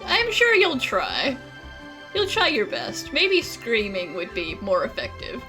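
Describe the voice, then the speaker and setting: A woman's voice: fairly high pitch, wildly swooping pitch, slow, over music, poor audio. A woman gaming streamer giving casual playthrough commentary with wry, sarcastic asides.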